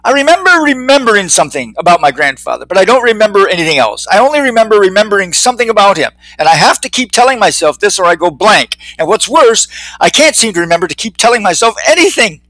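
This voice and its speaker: A man voicing a chatty, forgetful fly who rambles quickly. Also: high-pitched, sing-song, a bit bright, no echo, pitched above the performer's own voice, low-quality recording. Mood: rambling.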